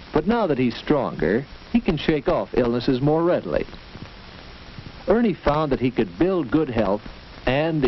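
An American man narrating a scripted 1940s educational film in a measured, instructive storytelling manner, on an old film soundtrack. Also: medium pitch. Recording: dull, noisy, clicking 1940s film soundtrack.

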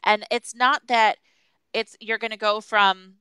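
A woman with a bright, very clear voice chats casually as a guest. Her headset gives a dry sound with no room echo, and her way of talking is informal. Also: a little high, fairly even intonation, a little slow. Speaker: guest, marketing consultant. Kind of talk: casual chat. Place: room at home, indoors. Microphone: headset on a video call.

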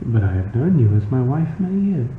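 Man playing a pompous, insistent suitor, quick. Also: medium pitch, sing-song, natural voice. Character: insistent, pedantic male suitor proposing marriage. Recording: muffled phone-like sound, noisy, roomy echo.

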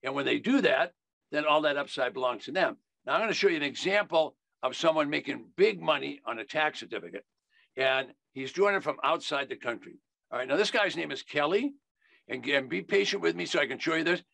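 A man's voice: fairly high pitch, animated, a little fast, creaky, pushed and bright, no room sound. An older American man teaching in an emphatic, folksy sales style, repeating key phrases on a video call. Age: older, 70s. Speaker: investing educator. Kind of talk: instructional sales talk.

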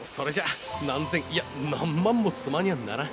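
An actor playing a folksy, reflective aging samurai ruler in a video game. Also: male voice, on the high side, expressive, moderate pace, lightly acted. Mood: reflective, burdened. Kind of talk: dramatic Japanese video game acting. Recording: loud music behind the voice.

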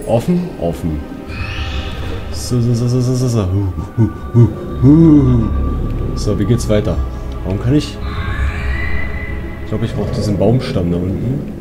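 A man's voice: medium pitch, lively intonation, measured pace, long pauses. A man giving casual let's play commentary, talking to himself with crude exclamations. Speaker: let's player. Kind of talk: casual video game commentary. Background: video game music.

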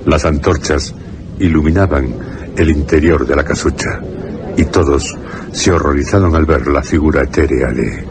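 A man's voice: rumbling low, moderate pace, noisy background. A man narrating a suspense and horror radio drama in a scripted, dramatic style. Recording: radio drama with loud music behind. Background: suspense music.

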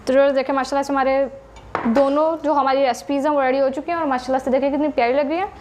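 High-voiced woman walking through a recipe. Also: fairly even intonation, racing, a little mellow, slight background noise. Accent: Pakistani Urdu. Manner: patient, explanatory, addresses viewers directly. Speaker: cooking channel host. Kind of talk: step-by-step recipe narration.

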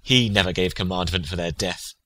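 A man's voice: low voice, brisk, bright, forceful tone. A man playing a prince's loyal, grieving friend in a classical tragedy, speaking in a solemn, declamatory way. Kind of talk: classical stage tragedy, dramatic audiobook reading.

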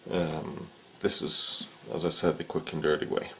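A man with an extremely low, gravelly voice walks through a hands-on repair at an indoor workbench. He talks slowly and unhurriedly, pausing often with "uh" and "um" as he works. A faint hiss of room noise sits under his voice. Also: little pitch movement, pushed and bright. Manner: unhurried, with frequent "uh" and "um" fillers. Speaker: fishing rod repair instructor. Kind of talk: casual how-to demonstration.

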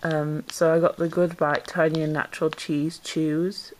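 A woman's voice: low-pitched, level tone, a little slow, a little hiss or hum. A woman chatting casually as she unboxes pet supplies at home, a little congested from a cold and rambling with ums. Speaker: pet vlogger. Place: indoors at home, camera pointed down into a box.

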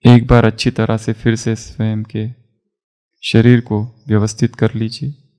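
A man guiding a relaxation meditation as an instructor, giving calm, measured instructions with long pauses. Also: fairly low pitch. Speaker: yoga nidra meditation instructor.

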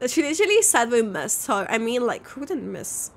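A woman's voice: fairly high pitch, very animated, moderate pace, a little hiss or hum. A woman giving casual, gushing reactions while playing through a video game story, echoing bits of the dialogue. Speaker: gaming streamer reacting to a story quest. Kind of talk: casual playthrough reaction commentary. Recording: game music behind the voice. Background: music.

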